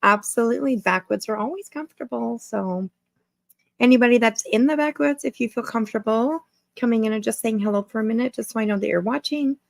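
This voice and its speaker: A woman's voice: a little high, fairly quick, creaky, no room sound. A woman hosting a casual livestream, chatting informally to viewers in a rambling way and repeating her phrases. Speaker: livestream host.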